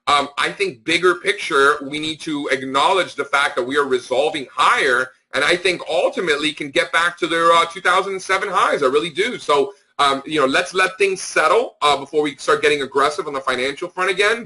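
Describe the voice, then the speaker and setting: A man's voice: on the high side, pushed and bright, rough recording. A man speaking informally as a market analyst on a conference call, with an emphatic, opinionated, slangy way of talking. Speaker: stock market analyst hosting a members call.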